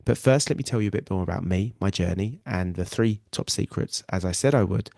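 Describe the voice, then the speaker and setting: A man's voice: sits low, fairly quick, creaky, a little mellow, no room sound, clean studio sound. A man with a nasal cold, hosting a live weight loss webinar in an earnest, reassuring way and often repeating himself. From England. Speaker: weight loss coach and podcast host.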